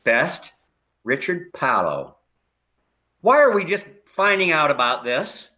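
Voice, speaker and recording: Blustery comic neighbor acted by a man, bright forceful voice, big pitch swings, quick to bicker. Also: big pitch swings, lo-fi sound, heavily acted. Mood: stunned, indignant. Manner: blustery, exclamatory, profane. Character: loud, defensive, gleeful bickering male neighbor. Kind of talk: comedic stage play acting.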